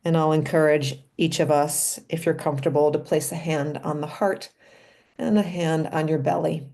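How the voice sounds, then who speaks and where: Low-pitched, mellow woman guiding a meditation. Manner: gentle, unhurried, pausing between short phrases. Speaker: meditation leader and physician. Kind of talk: guided meditation.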